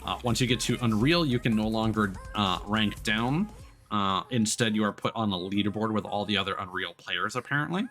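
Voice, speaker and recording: A man's voice: on the low side, brisk, fairly bright tone. A man doing casual live gaming commentary, thinking aloud and chatting to his viewers. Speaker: livestreamer, gamer.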